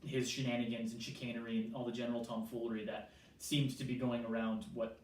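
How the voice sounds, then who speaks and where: A young American man whose accent has picked up a New Zealand tinge answers interview questions at an unhurried pace, filling his pauses with ums. He sits back from the mic in an echoey room with a faint hiss. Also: mid-range voice, little pitch movement, a little mellow, far from the mic. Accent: American, softened toward New Zealand. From Southern California. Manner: measured, frequent ums, quotes others with animation. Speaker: interviewee, church worker.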